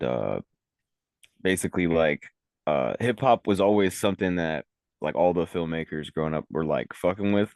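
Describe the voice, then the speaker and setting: Casting: young guy rapper from Venezuela, extremely low voice, loose slangy rambling, dry close sound. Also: no echo. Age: young adult. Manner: laid-back, rambling, heavy on "like" and slang. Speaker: rapper and recording engineer. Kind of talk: casual interview chat.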